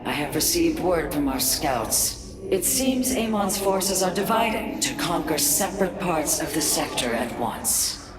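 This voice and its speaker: A low, echoing alien adviser. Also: female voice, big pitch swings, a little slow. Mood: businesslike. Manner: solemn, philosophical, formal. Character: wise, calm female alien warrior adviser. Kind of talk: dramatic game cinematic acting. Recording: echoing voice under loud game music. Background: loud cinematic music.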